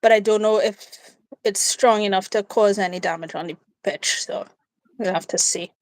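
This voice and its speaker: A woman's voice: mid-range voice, fairly even intonation, normal speed, no room sound, low-quality recording. A woman from Trinidad with a Trinidadian accent, chatting casually and playfully as co-host of a live watchalong. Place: indoors in a room at home.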